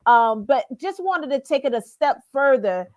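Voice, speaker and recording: Slow, lively-voiced woman host. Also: high voice, dry recording, clean studio sound. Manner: measured, affirming. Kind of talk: talk show hosting.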